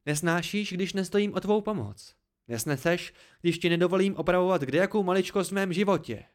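A man voicing a proud, angry, sharp-tongued young woman in an audiobook story, with biting, indignant outbursts. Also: mid-range voice, animated, natural voice. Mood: cold fury.

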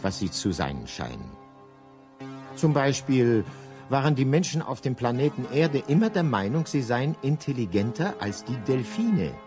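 An actor as the wry, matter-of-fact narrator of a radio play, explaining in a deadpan manner. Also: male voice, medium pitch.

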